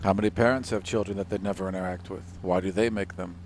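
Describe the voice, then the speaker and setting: A man voicing a lofty, world-weary young demigod prince who speaks in a formal, measured way. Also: sits low, steady tone, darkish tone, a little hiss or hum, pitched below the performer's own voice, close to an everyday voice. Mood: matter-of-fact.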